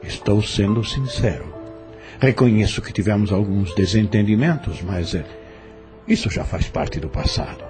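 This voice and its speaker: Man playing courteous educated farm overseer, audio drama, expressive swooping pitch, mellow tone, formal gallant phrasing. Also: moderate pace, faint music behind, close to an everyday voice. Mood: sincere, reflective. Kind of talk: audio novel dialogue.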